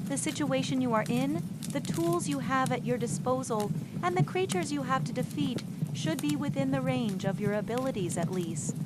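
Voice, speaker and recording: A woman's voice: high voice, moderate pace, hardly any pauses, very noisy, close to an everyday voice. A woman voicing a stern, weary old male policeman who gives grave instructions in an audiobook. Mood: patient, instructing. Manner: grave, instructing, clipped warnings.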